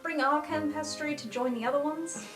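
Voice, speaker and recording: A woman's voice: high voice, moderate pace. A woman improvising dialogue as a player in a tabletop roleplaying podcast. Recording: echoey room, clear music behind.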